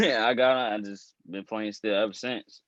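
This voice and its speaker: A man's voice: fairly low pitch, normal speed. A man in his early twenties, a guest musician, telling his story in a chatty way. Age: 20s. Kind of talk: casual interview storytelling. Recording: dull dry lo-fi sound.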